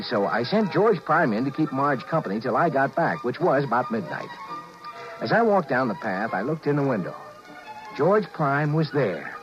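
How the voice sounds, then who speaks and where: A man acting a flustered, guilty husband who stammers and narrates his story in a 1950s radio drama. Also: a little high. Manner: stammering, nervous, confiding narration. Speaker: actor playing George, narrator and lead. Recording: dull vintage 1950s radio broadcast.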